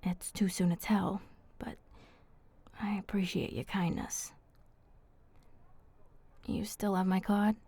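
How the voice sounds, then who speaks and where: A woman voicing a flirty, protective female vampire in a breathy, husky, intimate ASMR roleplay. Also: mid-range voice, little pitch movement, slow pace, pauses often, dark tone, dry recording. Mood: apologetic, tender. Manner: intimate, coaxing, casual slangy speech.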